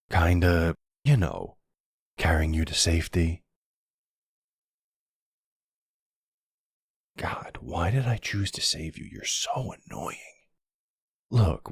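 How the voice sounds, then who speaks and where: A man's voice: low voice, vocal fry, husky, dry recording, studio quality, full character voice. A man playing a flirty, smug vampire in an intimate ASMR roleplay, with a teasing, sardonic and seductive manner. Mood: wry, mildly exasperated. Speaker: audio roleplay voice actor.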